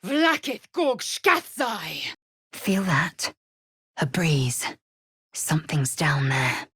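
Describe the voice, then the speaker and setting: A woman voicing a fierce, proud alien warrior woman in a video game, scornful and haughty, with clipped, formal phrasing and a husky, breathy voice. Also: sits low, big pitch swings, extremely slow, a bit bright, no room sound, clean studio sound, full character voice. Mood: battle cry, then measured, proud, then low, menacing. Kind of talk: video game character voice lines.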